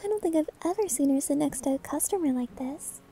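A woman acts out a sweet, clumsy maid in an ASMR roleplay. Her voice is extremely high and velvety soft, with music behind it. She is cutesy, eager and gently teasing. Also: lively intonation, fast. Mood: playful teasing.

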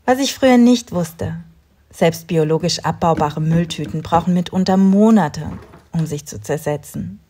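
A woman in her thirties calmly narrating a home cleaning routine in a voice-over, giving step-by-step tips straight to the viewer. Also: deep voice, husky. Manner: calm, instructive, talks directly to the viewer. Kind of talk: calm scripted voice-over narration.

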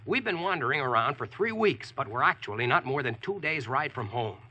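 An actor playing a plainspoken, wry Yankee with an American accent, in an old-time radio drama. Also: male voice, mid-range voice, lively intonation, brisk, pushed and bright, breathy edge, exaggerated voice. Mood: exasperated. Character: wry, slangy Yankee man narrating his medieval adventure. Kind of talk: 1940s radio acting and storytelling.

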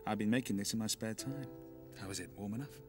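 An actor playing a gentle, devoted son in a video game drama. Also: male voice, fairly low pitch, quick pace, far from the mic, over music, close to an everyday voice. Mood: caring. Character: gentle, caring grown son, devoted to his mother.

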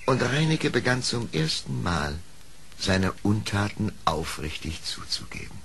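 A man with a lowish voice narrates a fairy-tale radio play. He takes his time, very slow and measured, on an old record with faint noise. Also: big pitch swings, a bit bright. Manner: measured, literary storytelling.

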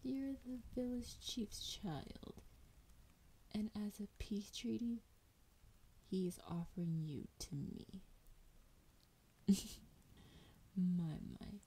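A woman voicing a sultry, menacing female dragon in a breathy, whispered fantasy roleplay, teasing and drawing out her words. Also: medium pitch, dark tone, poor audio. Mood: curious, mildly annoyed. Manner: slow, teasing, drawn-out delivery.

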